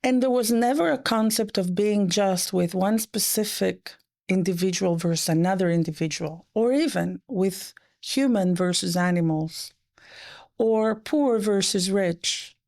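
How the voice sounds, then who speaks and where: A middle-aged woman with a gravelly, creaky edge to her voice, whose first language was French. She tells stories in quick, animated bursts and drops to a soft, near-whispered hush when quoting someone. Her voice sits in a middle range. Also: medium pitch. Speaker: guest, economist. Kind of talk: conversational interview.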